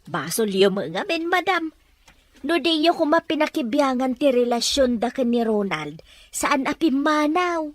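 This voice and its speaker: A woman's voice: sits high, sing-song, a little slow, no echo. A woman acting a sassy, outspoken young woman who talks back, in a Filipino audio drama. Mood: cheeky, reproachful.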